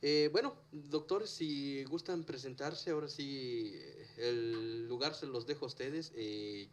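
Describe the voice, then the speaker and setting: A man's voice: medium pitch, slow pace, a little hiss or hum. Chatty male show host. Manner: friendly, chatty, hesitant fillers. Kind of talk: hosting an interview.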